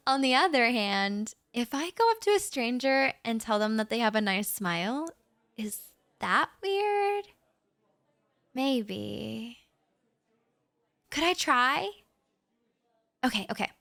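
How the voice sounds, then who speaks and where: A woman's voice: fairly high pitch, expressive, slow, pauses often, a bit bright, dry recording, heavily acted. A woman playing a shy, anxious young writer who rambles nervously with stammers and little laughs, talking straight to the listener in a roleplay audio. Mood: shy, rambling.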